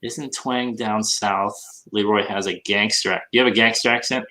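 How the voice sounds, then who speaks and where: A man's voice: fairly low pitch, steady tone, moderate pace, pushed and bright. A man with a Minnesota accent hosting a casual podcast hangout, teasing his co-host in friendly banter while he works. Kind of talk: casual chat while listing items.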